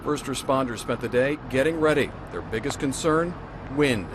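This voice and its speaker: A man's voice: mid-range voice, lively intonation, normal speed, heavy background noise. A man with an American accent delivering a scripted television news report as a correspondent.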